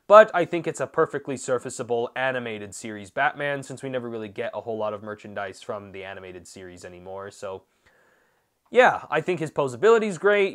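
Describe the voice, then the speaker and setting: A man's voice: mid-range voice, a bit bright. A man giving a casual, conversational action figure review as the channel host, with emphatic swings between points. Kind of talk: casual product review commentary.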